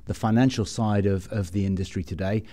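A man's voice: on the low side, steady tone, a little fast, warm tone. A man hosting a podcast, interviewing in a reflective, conversational way and often ending with a tag question. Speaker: podcast host.

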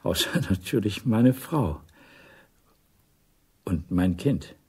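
An actor playing a guarded middle-aged intelligence officer in a 1980s radio play, measured, probing and quietly insistent. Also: male voice, low voice, expressive, breathy edge, heavily acted. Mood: careful.

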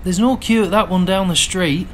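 A man's voice: sits high, moderate pace, slight background noise, natural voice. A man playing an exasperated Northern English husband and football fan in a radio play. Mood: grudging.

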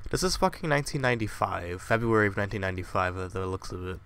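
A man's voice: fairly low pitch, lively intonation, quick pace, vocal fry, fairly bright tone, clear, resonant tone. A man playing a horror game, swearing and muttering casual asides, still slightly congested from a cold. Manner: profane, jumpy, muttering asides. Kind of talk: casual commentary.

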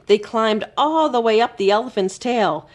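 A woman storyteller reading a children's book aloud expressively, with careful, dramatic emphasis and pauses. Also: on the high side, animated. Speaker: library storyteller.

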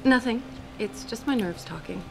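A woman's voice: a little high, moderate pace, gravelly, a little mellow. A woman playing an earnest, idealistic research scientist in a video game, speaking articulately. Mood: businesslike. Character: earnest, idealistic female research scientist, quick-minded doctor. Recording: game audio with music behind.

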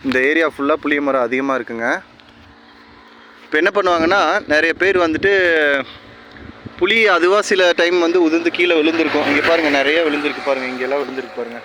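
A man's voice: a little high, fast talker, pauses often, pushed and bright, rough recording. A man with a Tamil Nadu accent, talking casually to viewers and explaining things step by step in a vlog. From rural Tamil Nadu, India. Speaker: vlogger. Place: outdoors by a tree-lined roadside. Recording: clear outdoor roadside and vehicle noise.

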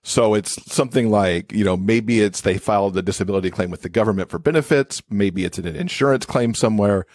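A man's voice: on the low side, brisk, few pauses, darkish tone, dry recording, studio quality. A man speaking as an expert podcast guest, explaining things in a conversational interview style.

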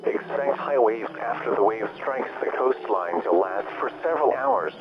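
A man's synthetic-style voice playing an impersonal weather service alert announcer, reading a formal bulletin in a measured monotone. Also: medium pitch, creaky, highs cut off, a loud crowd. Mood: official. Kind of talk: formal scripted emergency bulletin.

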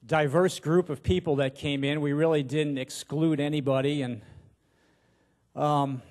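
A man's voice: on the high side, steady tone, measured pace, darkish tone. A man in his sixties, a pastor, giving an informal sermon in a hesitant, self-deprecating, folksy way into a handheld microphone in a church auditorium. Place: large church auditorium, on stage at a pulpit.

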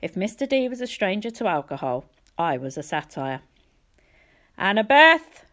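Woman, audiobook reader, scripted story narration, lowish voice, animated pitch. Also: fairly quick.

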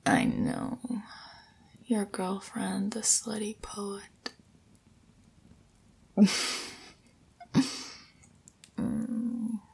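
A woman's voice: medium pitch, level tone, heavily acted. A young woman voicing an affectionate, teasing, playful girlfriend in a whispered, intimate ASMR roleplay. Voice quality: breathy, whispery. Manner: slow, playful, teasing, with sighs and soft chuckles.

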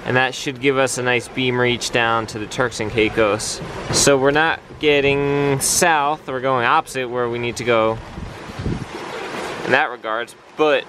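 A man's voice: mid-range voice, fairly even intonation, measured pace. A man in his thirties casually explaining sailing plans while on a sailboat outdoors. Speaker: sailing vlogger. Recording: wind and water noise. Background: boat and water noise.

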